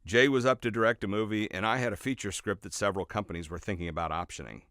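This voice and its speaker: A man reading his own nonfiction book as scripted narration, in a measured, expressive storytelling way with emphatic phrasing. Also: on the low side, dry recording, studio quality. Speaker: author reading own audiobook.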